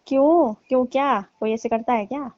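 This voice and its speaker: A woman's voice: high voice, moderate pace, no echo, lightly acted. A woman playing a teasing, mock-annoyed middle-aged auntie chatting casually and coyly on a phone call. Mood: teasing complaint. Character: scolding, coy neighbourhood auntie. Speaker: caller in a staged comic phone call. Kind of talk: casual flirtatious phone chat. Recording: dull lo-fi phone-call audio.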